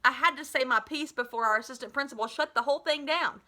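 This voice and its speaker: A woman's voice: high voice, quick pace, sharp tone. A woman in her thirties reading a children's novel aloud expressively, like a teacher, with dramatic delivery and the odd self-correction, recorded in a living room. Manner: dramatic delivery, slips and corrects herself with asides. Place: small living room at home, indoors.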